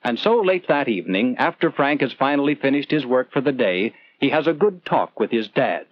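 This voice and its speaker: A man's voice: mid-range voice, very animated, a little fast, dull audio. A man narrating a scripted 1940s instructional film in an earnest, conversational storytelling manner, putting questions to the viewer, on an old film soundtrack.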